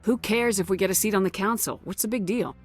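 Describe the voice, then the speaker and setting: A woman's voice: mid-range voice, quick pace, natural voice. An actress playing a no-nonsense female military commander in a sci-fi video game, curt and direct. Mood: blunt. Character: female space marine commander, blunt, no-nonsense. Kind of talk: video game dialogue acting. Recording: dry studio voice over game music.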